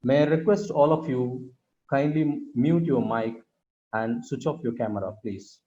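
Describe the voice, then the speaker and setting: A man hosting an online event, giving polite, measured, formal announcements and introductions over a video call. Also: mid-range voice, dark tone, a little hiss or hum. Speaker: host introducing next session. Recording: dull, band-limited video call audio.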